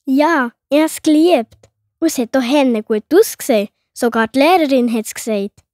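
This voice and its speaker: A woman's voice: high voice, moderate pace, a little mellow, dry recording, clean studio sound, close to an everyday voice. A woman playing a sensitive young schoolgirl in a children's audio drama, childlike, with a Swiss German accent. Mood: hurt, sulky. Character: sensitive young schoolgirl, easily hurt, trusting daughter.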